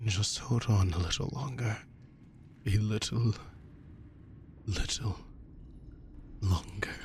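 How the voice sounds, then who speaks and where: A man voicing a tender male vampire who speaks in a hushed, pleading way. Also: lowish voice, slow, gravelly, background noise.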